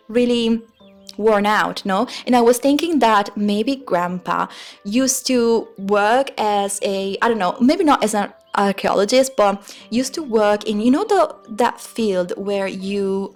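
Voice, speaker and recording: A woman with an Italian accent and Italian as her first language gives a rambling, hesitant voice-over for a game build video, often searching for words. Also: medium pitch. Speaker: Sims speed build creator. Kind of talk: casual voice-over commentary. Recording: voice-over with faint music bed. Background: soft background music.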